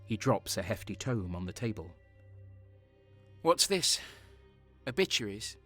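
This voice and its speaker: A man's voice: fairly low pitch, very animated, a little slow, fairly bright tone, faint music behind, full character voice. An actor playing a cynical, cold and sadistic soldier who narrates his crimes in a brooding, sardonic way in an audio drama.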